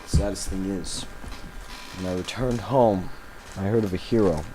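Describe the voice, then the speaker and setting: A man playing an embattled villain recording a grave confessional audio log, speaking haltingly and solemnly with dramatic pauses. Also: low-pitched, dark tone, slight background noise, natural voice, low-quality recording. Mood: sorrowful, then ominous, resigned.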